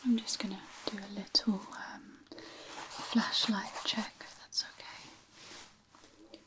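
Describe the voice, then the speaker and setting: A woman speaking in a soft, breathy near-whisper, playing a gentle, reassuring physiotherapist who hesitantly explains each step in an ASMR roleplay. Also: medium pitch, little pitch movement, slow pace, low-quality recording.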